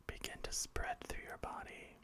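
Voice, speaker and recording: A man whispering a slow guided meditation, softly repeating calm reassurances. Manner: slow, soft, repetitive reassurance.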